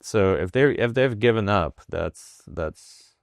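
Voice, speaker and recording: A man, a physician answering interview questions in a halting way, with many fillers and restarts. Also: fairly low pitch, lively intonation, creaky, no room sound. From Russia. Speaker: wound care physician. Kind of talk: interview guest.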